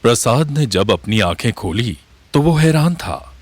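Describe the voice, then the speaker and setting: A man's voice: medium pitch, expressive, a little slow, heavy background noise. A man narrating a scripted horror story.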